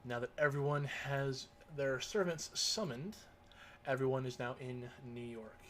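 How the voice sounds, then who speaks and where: A man narrating as game master of a tabletop roleplaying game, in a halting way with many filler words. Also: mid-range voice, a crowd in the background.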